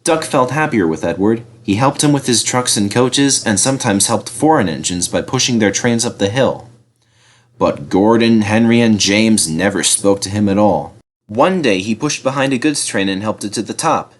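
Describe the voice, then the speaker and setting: A man reading a children's storybook aloud as scripted, expressive narration. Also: on the low side, normal speed. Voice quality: animated, expressive delivery. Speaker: story reader.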